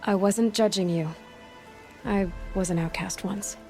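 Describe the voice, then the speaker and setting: An actress playing a young huntress heroine in a video game, speaking in a measured, direct, questioning and matter-of-fact way. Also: female voice, mid-range voice, fairly even intonation, dark tone, soft background music, natural voice. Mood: calm, curious. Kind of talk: video game character acting.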